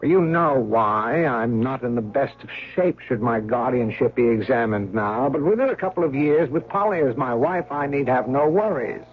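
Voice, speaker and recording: A man's voice: medium pitch, animated, normal speed, few pauses, soft background music, lightly acted. An actor playing a scheming, domineering male guardian with a clipped, imperious manner, in 1970s radio drama style. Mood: brisk, self-serving. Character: scheming, domineering male guardian, coldly threatening, self-serving. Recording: muffled old radio broadcast.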